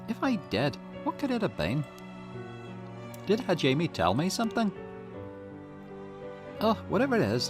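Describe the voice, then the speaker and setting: A man's voice: fairly high pitch, voice pushed up. A man voicing a tough, grieving young woman in visual novel game dialogue, with an indignant, dramatic delivery. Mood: anguished questioning.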